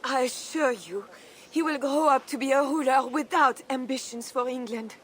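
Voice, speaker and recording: A woman's voice: high-pitched, moderate pace, fairly bright tone, a little hiss or hum. An actress playing a devout, merciful and steadfast medieval noblewoman, earnest and prayerful, in a video game. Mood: pleading, earnest. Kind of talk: dramatic video game acting.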